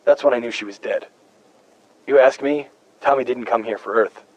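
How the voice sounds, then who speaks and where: An actor playing a weary, haunted human survivor stranded for decades on an alien planet, speaking in a brooding, hesitant, confiding way, as in a video game audio log. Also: male voice, medium pitch, normal speed, a little mellow, muffled recording, natural voice. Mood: somber, reflective.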